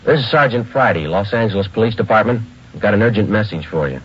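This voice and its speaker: A man's voice: on the low side, lively intonation, fast talker, lightly acted. A man acting a terse, businesslike detective sergeant in an old radio crime drama, with an American accent and a clipped, matter-of-fact delivery. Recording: muffled, hissy old radio recording.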